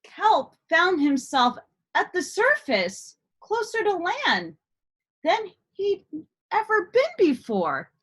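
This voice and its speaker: A woman storyteller reading a picture book aloud in an expressive, deliberate style with dramatic emphasis. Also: very high voice. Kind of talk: expressive children's read-aloud narration.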